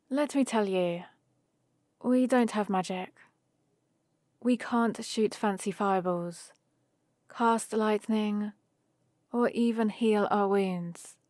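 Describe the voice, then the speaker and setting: A woman's voice: mid-range voice, a little mellow, no room sound, studio quality, lightly acted. A woman playing a friendly, teasing human heroine in an ASMR roleplay, explaining things casually and patiently to the listener. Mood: proudly explaining. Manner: casual, playful, explanatory rambling. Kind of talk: ASMR roleplay, one-sided conversation with the listener.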